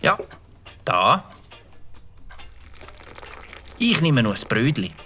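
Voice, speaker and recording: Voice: medium pitch, sing-song, extremely slow, sharp tone, soft background music, exaggerated voice. An adult voicing a young Swiss German boy who stammers with fillers, in a children's audio drama. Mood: meek. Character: young boy, mischievous but sheepish. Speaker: child character.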